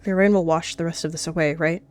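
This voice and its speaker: A woman voicing a secretive she-cat warrior who speaks in a tense, hushed, defensive way. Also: on the low side, fairly even intonation, fast, soft background music, close to an everyday voice.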